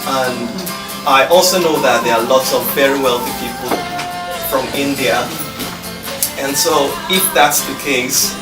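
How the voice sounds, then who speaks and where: A man giving an informal, reflective thank-you speech as the exhibiting artist, speaking haltingly with pauses. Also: medium pitch. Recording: echoey gallery, distant mic, loud guitar. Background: live guitar music.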